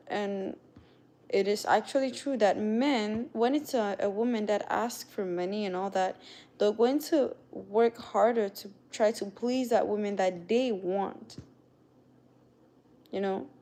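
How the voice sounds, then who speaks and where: A woman's voice: mid-range voice, slow, mellow. A young woman with a non-native accent hosting a casual livestream, chatting with viewers and greeting them by name. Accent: non-native English accent. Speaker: livestream host. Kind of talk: casual live-stream chat with viewers.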